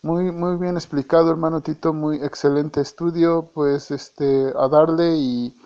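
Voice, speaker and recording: Man, Mexican accent, hesitant, self-correcting, flat delivery, dull lo-fi voice message. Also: a little high, unhurried. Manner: hesitant, repeats and corrects himself. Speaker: church member. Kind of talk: casual voice message.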